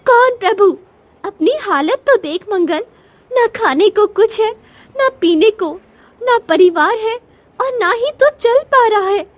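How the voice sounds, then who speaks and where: A woman's voice: very high voice, expressive, slow pace, heavily acted. A woman voicing Mother Nature, a stern yet motherly goddess, in a cartoon moral story with admonishing, maternal authority. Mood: stern warning. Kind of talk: dramatic Hindi cartoon story dialogue.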